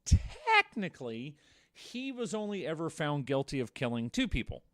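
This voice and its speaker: A man's voice: a little high, big pitch swings, moderate pace. A man co-hosting a podcast, telling true-crime stories in a conversational way with wry, darkly joking asides. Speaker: podcast co-host.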